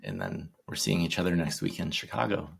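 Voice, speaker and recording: A man in his forties, a guest in a casual interview, talking in a shy, halting way with many ums and restarts. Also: low voice, sing-song, creaky, husky. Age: 40s.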